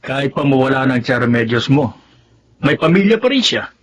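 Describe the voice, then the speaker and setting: A man's voice: medium pitch, big pitch swings, moderate pace. Earnest caring father, dull sound. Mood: concerned. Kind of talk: Tagalog radio drama acting.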